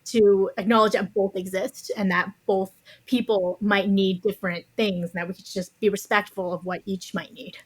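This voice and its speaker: A woman's voice: mid-range voice, fairly even intonation, normal speed, few pauses, low-quality recording. A woman speaking as a podcast guest, explaining her views conversationally in a thoughtful, carefully qualified way. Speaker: interview guest, author.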